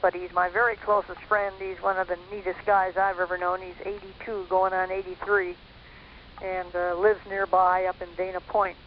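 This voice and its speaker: A man rambles effusively in casual interview chat. His voice is notably high, and the sound is muffled and noisy, like a call. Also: completely flat delivery, normal speed. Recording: muffled, noisy phone-like line.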